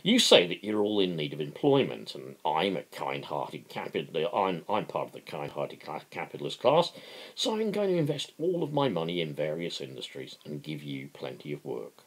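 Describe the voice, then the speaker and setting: Man acting gravelly, emphatic working-man lecturer. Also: rumbling low, wildly swooping pitch, moderate pace, heavily acted. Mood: emphatic, explanatory. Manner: emphatic, explanatory. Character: working man playing a kindly capitalist, lecturing patiently.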